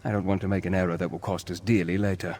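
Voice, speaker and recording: An actor playing a learned, cautious scholar of ancient ruins in a fantasy game, speaking in a measured, formal, earnest way. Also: male voice, sits low, level tone, darkish tone. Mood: scholarly, intent. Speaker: quest-giving game character. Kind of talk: scripted fantasy game dialogue.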